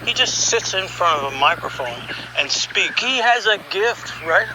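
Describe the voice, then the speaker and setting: High-pitched man telling a wry story. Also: lively intonation, unhurried, background noise. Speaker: storyteller and voice session director. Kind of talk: conversational scripted storytelling podcast narration.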